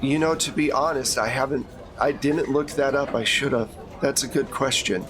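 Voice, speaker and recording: A man's voice: mid-range voice, moderate pace, crowd noise. A man acting as game master, giving a conversational, explanatory briefing in a tabletop roleplay.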